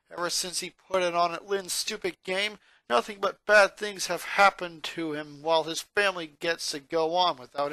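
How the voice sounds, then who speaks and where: A man reading a story aloud as narrator, in a dramatic, expressive storytelling style. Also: high voice, dry recording, rough recording. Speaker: narrator, fan fiction reader.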